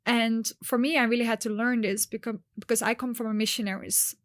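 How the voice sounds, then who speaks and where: A woman's voice: on the high side, fairly even intonation, a little fast, no echo. A woman coach raised in Nepal, speaking earnestly and expressively in a conversational interview, with emphatic points.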